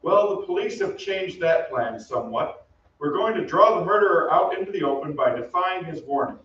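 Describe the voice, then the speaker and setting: A stiffly courteous police inspector, played by a man, his voice slightly high. He is measured and condescending in radio-play style, sounding distant in a very reverberant space. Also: expressive, a bit bright. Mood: formal. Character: dry, formal police inspector, rival suitor. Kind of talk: old-time radio drama acting. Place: theatre stage. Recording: very echoey stage, distant, dull.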